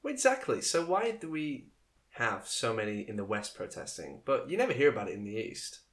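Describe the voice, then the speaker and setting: A man's voice: mid-range voice, very animated, a little fast. Man joking on a podcast. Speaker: podcast co-host. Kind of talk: casual podcast chat.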